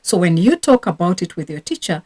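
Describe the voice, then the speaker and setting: A woman's voice: lowish voice, very animated, brisk, darkish tone. Earnest woman sharing parenting advice. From Rwanda. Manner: earnest, confiding, admits her own regrets. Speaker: parenting coach and teacher. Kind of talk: casual advice talk to camera.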